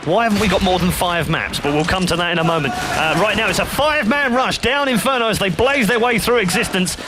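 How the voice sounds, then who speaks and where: A man commentating a live esports match, heavy on stats and building to excited play-by-play calls. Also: high voice, fairly quick, background noise.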